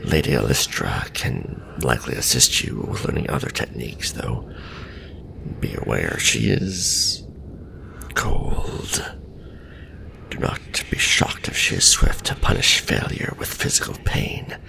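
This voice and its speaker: Man voicing a cavernous, gravelly undead death knight. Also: very animated, background noise, full character voice. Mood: measured, instructive. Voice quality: deep gravelly half-whispered rasp. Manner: measured, formal, instructive. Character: veteran undead death knight, stern patient mentor to acolyte. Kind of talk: ASMR fantasy roleplay.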